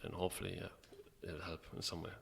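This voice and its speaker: A man's voice: low voice, completely flat delivery, fast, creaky, fairly bright tone. A man with an Irish accent talking casually as an interview guest, hesitant and dryly self-deprecating.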